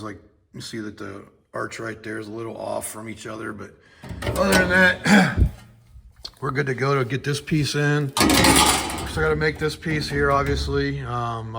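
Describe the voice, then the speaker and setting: A hoarse man who is losing his voice after being sick, talking casually through a repair job in his home garage, rambling with lots of fillers. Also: medium pitch, very noisy. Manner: rambling, easygoing, many fillers. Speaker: host of a home auto repair channel. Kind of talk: casual talk-through of a shop project.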